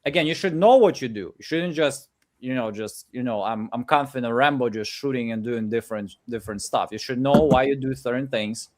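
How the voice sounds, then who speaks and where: Hombre con acento ucraniano, motivador. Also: male voice, medium pitch, a little fast. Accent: Ukrainian. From Ukraine. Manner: energetic, pep-talk delivery with many "like" and "again" fillers. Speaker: coach. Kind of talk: live coaching session. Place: home office in Ukraine. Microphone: video call.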